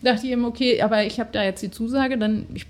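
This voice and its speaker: A woman's voice: a little high, fairly even intonation, fast, slight background noise. A middle-aged woman from Germany, a guest telling her story in casual conversation, hesitant with many fillers.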